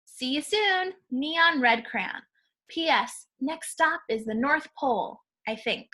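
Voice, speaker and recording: A muddled globe-trotting crayon from a picture book, voiced by a woman, chatters in a high, bright voice. It is cheerful and breezy, never quite sure where it is. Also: animated, slow pace, exaggerated voice.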